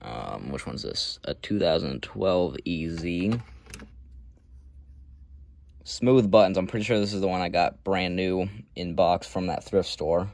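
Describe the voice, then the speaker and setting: A man's voice: deep voice, animated, a little slow, creaky, darkish tone, no echo. A man giving a casual, unscripted show-and-tell as a hobby collector, talking in an offhand, matter-of-fact way with rambling asides.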